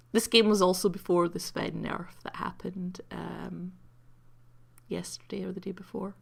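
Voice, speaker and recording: A woman giving casual, rambling commentary over a video game replay, croaky from having just woken up and mocking her own play between many ums. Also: lowish voice.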